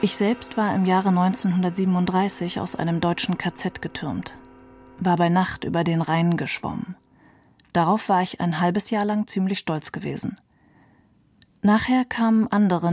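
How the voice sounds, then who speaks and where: A woman's voice: lowish voice, steady tone, moderate pace, darkish tone, dry recording, clean studio sound. A woman reading a literary radio feature as a young male refugee narrator, proud, wry and detached. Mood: sober, wry. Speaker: reader of a literary novel.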